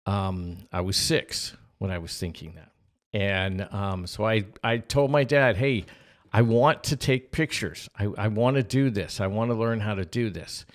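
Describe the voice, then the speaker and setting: A man's voice: on the low side, a little slow. A middle-aged man co-hosting a podcast, telling a casual anecdote and acting out bits of dialogue as he goes. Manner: animated retelling with acted-out dialogue. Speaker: podcast co-host and storyteller.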